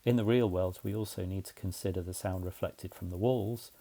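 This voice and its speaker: A man's voice: sits low, fairly even intonation, a little fast, warm tone. A man narrating a scripted explainer in a methodical, plain-spoken way, as a tutorial presenter.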